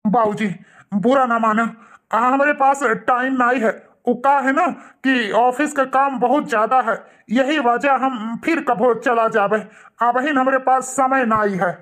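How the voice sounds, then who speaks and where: A man's voice: very high-pitched, steady tone, measured pace, a bit bright. A man with an Awadhi accent playing an emotional, hot-tempered young husband and devoted son in a cartoon. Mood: reluctant. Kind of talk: cartoon dialogue.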